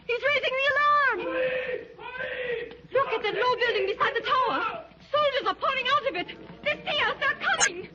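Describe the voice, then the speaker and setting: A woman's voice: extremely high pitch, lively intonation, moderate pace, noisy background. A woman playing a frightened young woman in a 1930s radio drama, asking anxious, breathless questions. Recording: distant echoey lo-fi 1930s radio recording.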